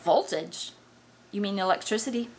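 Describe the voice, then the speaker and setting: A woman's voice: mid-range voice, moderate pace, a little hiss or hum, close to an everyday voice. A woman voicing a stern, suspicious, weary mother with a reproachful manner in a story reading.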